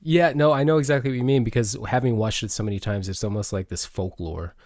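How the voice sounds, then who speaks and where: A man's voice: mid-range voice, quick pace, a little mellow, no echo, studio quality. A man hosting a podcast in casual interview chat, agreeable and a little tentative as he works toward his questions. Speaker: podcast host.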